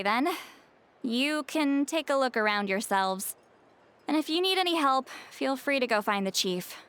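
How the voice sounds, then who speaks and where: A woman playing a friendly village caretaker who gives brisk, helpful directions. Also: fairly high pitch, fairly bright tone, clean tone.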